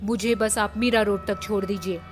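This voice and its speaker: A woman's voice: mid-range voice, steady tone, normal speed, over music, close to an everyday voice. A woman voicing a vengeful witch spirit who poses as a polite, pleading stranded beauty in a horror story. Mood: feigned helplessness. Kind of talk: dramatised horror story dialogue.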